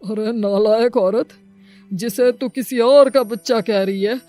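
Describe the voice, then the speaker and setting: A woman's voice: on the high side, unhurried, mellow, faint music behind, close to an everyday voice. A woman as an indignant, scolding grandparent. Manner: reproachful. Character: indignant grandparent scolding a cruel grown daughter. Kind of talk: dramatised moral cartoon story.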